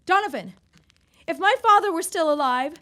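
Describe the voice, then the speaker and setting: An actress playing an indignant, wronged young 1910s wife in an audio drama, insistent, formal and confrontational. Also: female voice, extremely high pitch, expressive, normal speed, pushed and bright, full character voice. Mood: indignant, accusing. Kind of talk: period audio drama acting.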